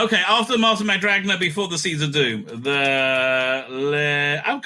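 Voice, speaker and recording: A man's voice: on the high side, pushed and bright. A man hosting a casual, off-the-cuff ranking video, rambling and interrupting himself as he thinks aloud. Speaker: video host ranking TV stories.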